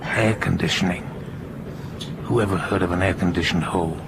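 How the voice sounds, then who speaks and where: A man acting in a radio drama as a thoughtful, suspicious passenger, speaking in a hushed, deliberate way. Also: gravelly. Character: thoughtful, suspicious male passenger plotting quietly. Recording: loud rumbling background noise.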